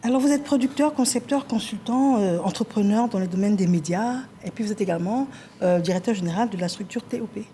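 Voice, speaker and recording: A woman hosting a TV talk show, introducing guests and prompting them briskly with short acknowledgments. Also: mid-range voice, dark tone, a little hiss or hum.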